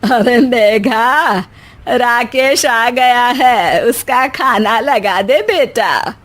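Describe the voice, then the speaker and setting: A woman playing a plain-spoken family member in an animated moral story. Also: fairly high pitch, drawn-out words, exaggerated voice. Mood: calling, instructing.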